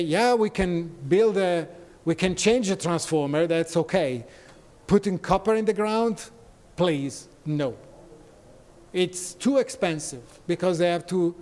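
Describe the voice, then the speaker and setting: A man's voice: high voice, darkish tone. Italian-accented man presenting in a reverberant hall. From Italy. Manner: non-native phrasing, frequent pauses and fillers. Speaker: conference speaker. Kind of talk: conference presentation. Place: conference hall.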